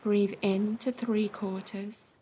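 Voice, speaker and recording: A woman guiding a yoga nidra meditation in a slow, soft and soothing way, with long pauses between phrases. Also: mid-range voice, flat delivery, lo-fi sound, slight background noise. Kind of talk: guided meditation.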